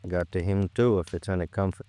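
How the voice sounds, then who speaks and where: A man with an American accent playing a gruff, frustrated police lieutenant in an old radio drama, blunt and terse. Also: deep voice, fairly even intonation, a little fast, mellow, dry recording, lightly acted. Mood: matter-of-fact. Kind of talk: 1950s radio drama acting.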